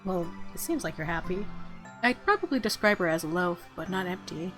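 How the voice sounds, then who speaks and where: A woman's voice: lowish voice, lively intonation, fairly quick, a bit bright, faint music behind. A woman reading a visual novel aloud in a let's play, dropping in playful, gushing asides.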